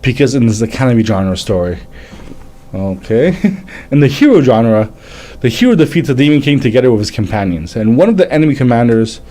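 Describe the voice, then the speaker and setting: A man in his thirties whose first language is French, hosting a casual live manga reading and chat, halting and stumbling as he reads aloud. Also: mid-range voice, lively intonation, warm tone. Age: 30s. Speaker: livestream host, manga reviewer.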